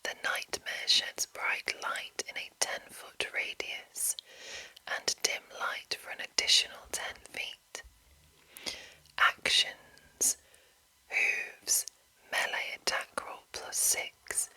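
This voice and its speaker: Whispering woman, slow ASMR reading. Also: low-quality recording. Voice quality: unvoiced whisper. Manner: hushed, slow, deliberate enunciation. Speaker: ASMR host reading from a game book.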